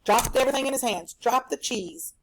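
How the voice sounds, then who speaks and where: A woman with a fairly high voice leads a recorded reading lesson for children. She explains step by step like an elementary teacher, animatedly acting out small bits as she goes. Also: lively intonation, a little fast, a little hiss or hum. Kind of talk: recorded read-aloud lesson for children at home.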